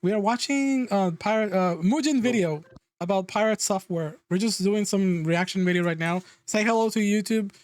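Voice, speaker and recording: A man's voice: sits high, moderate pace, dry recording. A man streaming a reaction video, chatting casually and joking with his guest and viewers.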